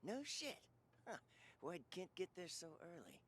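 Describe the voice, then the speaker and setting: Cocky impatient mobster, very animated voice, radio drama game. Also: male voice, high-pitched, measured pace, a little hiss or hum, heavily acted. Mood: scornful, sly. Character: brash impatient gangster, scornful and sly. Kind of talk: audio drama acting.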